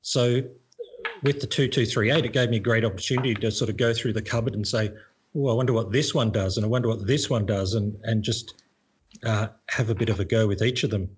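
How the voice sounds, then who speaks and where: A middle-aged Australian man chatting casually as a guest, relaxed and self-deprecating, often restarting his sentences. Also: fairly low pitch, fairly quick, a little hiss or hum. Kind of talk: casual conversation.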